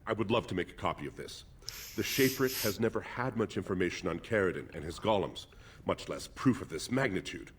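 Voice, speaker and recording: A man playing a formal, learned dwarf archivist with a husky voice in a fantasy video game. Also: sits low, expressive, a little fast. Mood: amazed.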